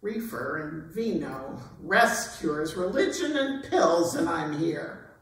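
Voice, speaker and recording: Woman as slow, wry showbiz survivor, echoey hall. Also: low-pitched, very animated, full character voice. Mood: wry, defiant. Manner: wry, dramatic pauses. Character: brassy, world-weary veteran showbiz actress, proud survivor. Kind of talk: theatrical recitation of show-tune lyrics. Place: performance hall, recorded from a distance. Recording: very echoey hall, distant microphone.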